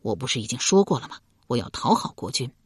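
A woman's voice: very deep voice, big pitch swings, brisk, husky, dry recording, clean studio sound, exaggerated voice. A woman storyteller playing a ruthless, ambitious husband from ancient times, domineering and coldly persuasive. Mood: hushed, urgent.

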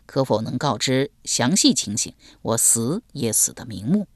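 A woman voicing a mild, weary male swordsman in an audiobook, soft-spoken, calm and resigned. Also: extremely low pitch, normal speed, no echo, voice pushed down, exaggerated voice. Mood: calm, measured.

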